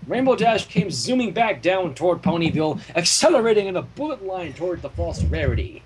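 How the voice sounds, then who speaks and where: A man reading a story aloud as narrator, in a dramatic, expressive storytelling manner. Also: sits high, fairly quick, background noise. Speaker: fan fiction reader and narrator. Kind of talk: animated scripted story narration.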